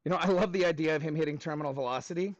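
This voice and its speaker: Guy teacher w a high voice, animated and informal, talking to his class, dry close sound. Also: very fast, dry recording, lo-fi sound. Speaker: middle school math teacher.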